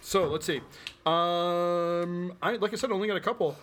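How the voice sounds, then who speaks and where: A man's voice: high-pitched, moderate pace, fairly bright tone, a little hiss or hum. A man co-hosting a casual podcast, chatty and teasing. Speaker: podcast co-host. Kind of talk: casual chat.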